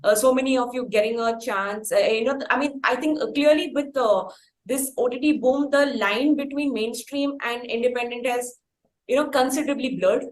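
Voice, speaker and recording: A woman's voice: a little high, moderate pace, rough recording. Indian woman asking wordy interview questions. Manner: wordy, hedging with frequent "you know". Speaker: interviewer, podcast host.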